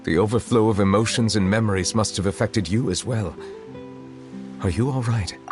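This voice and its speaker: Man voicing a dragon judge, low voice, formal and measured, grave tone, music behind. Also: close to an everyday voice. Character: ancient dragon in human form, a solemn chief judge. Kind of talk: video game voice acting. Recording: game audio with music behind.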